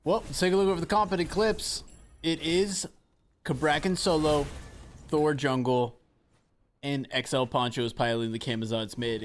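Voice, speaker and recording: A man's voice: mid-range voice, slight background noise. A man giving live esports commentary and analysis as a co-caster. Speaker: esports shoutcaster.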